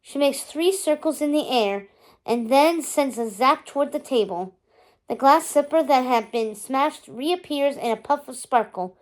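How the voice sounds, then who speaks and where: A woman's voice: sits high, lively intonation, measured pace, rough recording. A woman narrating a children's storybook as a read-aloud.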